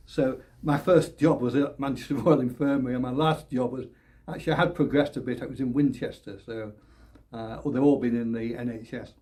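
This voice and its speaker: Older man, hesitant and halting. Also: mid-range voice, dark tone. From England, born Leeds, raised Oldham. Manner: hesitant, many repeated words and restarts, with small laughs. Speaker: election candidate. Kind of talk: interview answers.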